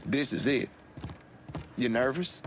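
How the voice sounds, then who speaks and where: A man's voice: mid-range voice, lively intonation, slow, background noise. A man playing an easygoing, streetwise fight handler in a crime video game, talking casually. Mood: calm, coaching. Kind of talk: naturalistic video game acting.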